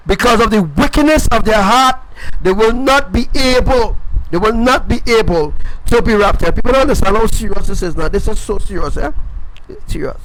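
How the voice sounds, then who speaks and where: A man with a very high voice delivers a live-streamed sermon straight to camera. He is emphatic and repetitive, driving each phrase home again and again and calling his viewers folks. A faint background noise sits under his voice. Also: a bit bright, low-quality recording. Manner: addresses viewers directly as folks. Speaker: self-styled preacher.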